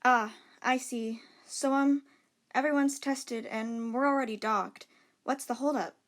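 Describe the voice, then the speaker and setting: A woman's voice: fairly high pitch, steady tone, unhurried, lightly acted. A woman playing an anxious young woman passenger who talks in an anxious, accusing way in an amateur audio drama.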